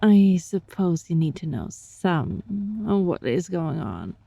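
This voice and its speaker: A woman playing a gentle, sly young fox-spirit student in an intimate ASMR roleplay, speaking softly and breathily in a coaxing, teasing way. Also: on the low side, sing-song, slow, no echo, soft background music, heavily acted. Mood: curious, teasing. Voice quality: soft, breathy.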